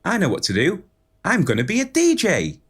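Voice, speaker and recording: An actor playing a naive, dim, excitable young male dreamer in a pantomime audio drama. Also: high voice, a little fast, slightly rough, heavily acted. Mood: excited, dreamy.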